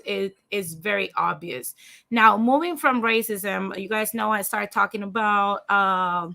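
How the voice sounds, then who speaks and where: A woman's voice: medium pitch, gravelly, bright, forceful tone. A young woman whose first language is Spanish, with a light Spanish accent, hosting a livestream and giving blunt, profane opinion commentary straight to her viewers, then reading a news article aloud. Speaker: livestream host.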